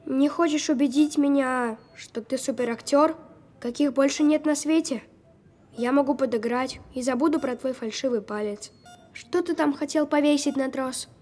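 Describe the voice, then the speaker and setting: A woman voicing a skeptical, sharp-tongued sick young boy in an audio drama, precocious and needling. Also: sits high, normal speed, lightly acted. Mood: challenging.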